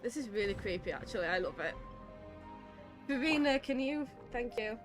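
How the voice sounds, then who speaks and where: A woman's voice: a little high, animated, normal speed. A woman giving casual, playful live commentary as she plays a video game, talking to herself and exclaiming. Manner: playful, self-narrating, exclamatory. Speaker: game streamer. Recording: game music playing behind the voice. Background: game music.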